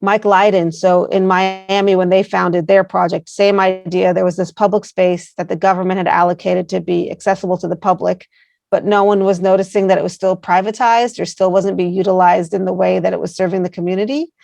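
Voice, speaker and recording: A woman's voice: lowish voice, flat delivery, a little fast, creaky, darkish tone, no echo. A woman with an American accent, answering interview questions earnestly, with many fillers and rambling asides. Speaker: guest, placemaking founder.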